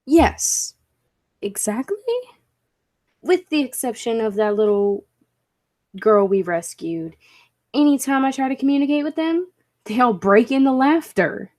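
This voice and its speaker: A woman's voice: fairly high pitch, animated, measured pace, pauses often, a little mellow. A woman playing a skeptical, intense professor of science, speaking earnestly and emphatically in a 1940s-style radio play. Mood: frustrated lecturing. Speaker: amateur drama club actor.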